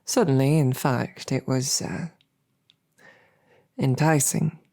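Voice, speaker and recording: An actress playing a smitten lowborn royal guard in an audio roleplay, with a halting, self-deprecating, earnest way of speaking. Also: female voice, dark tone, heavily acted. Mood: smitten, awkward. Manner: halting, self-deprecating, colloquial tag questions. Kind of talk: scripted audio roleplay, halting heartfelt banquet speech.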